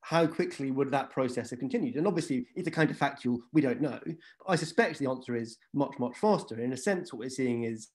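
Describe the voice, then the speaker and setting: A man's voice: medium pitch, fairly quick, few pauses, darkish tone. Scottish male panelist, discursive, conversational, on a video call. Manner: discursive, many "you know" asides. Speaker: panel guest.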